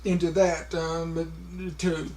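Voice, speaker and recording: A man's voice: on the high side, level tone, vocal fry, darkish tone. A man talking casually to a camera, with a heavy stutter, repeating sounds and stopping on words, with many fillers. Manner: slow, halting, many fillers. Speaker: vlogger.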